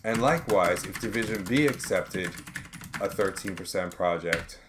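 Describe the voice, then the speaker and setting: A man working step by step through an accounting tutorial as an instructor, in a measured way, reasoning aloud as he calculates. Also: low-pitched. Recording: background noise with keyboard typing clicks.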